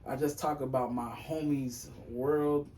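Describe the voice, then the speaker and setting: A young man who raps and sings, talking casually on a podcast in a loose, rambling way, full of slang and fillers. His voice is on the high side. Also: steady tone, a little slow, darkish tone, distant mic, a little hiss or hum. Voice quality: high-pitched voice. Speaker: rapper and singer guest.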